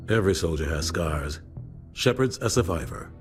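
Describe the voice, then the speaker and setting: A man playing a steadfast middle-aged military officer in a video game, speaking in a firm, measured way. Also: low-pitched, expressive, over music. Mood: firm, solemn. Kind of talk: video game character acting.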